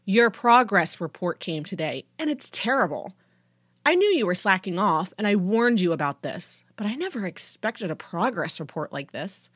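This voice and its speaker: Woman acting a loud, sarcastic, angry dad. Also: medium pitch, big pitch swings, moderate pace, dry recording. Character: angry, sarcastic middle-aged father scolding his teenage daughter. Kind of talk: audiobook character dialogue.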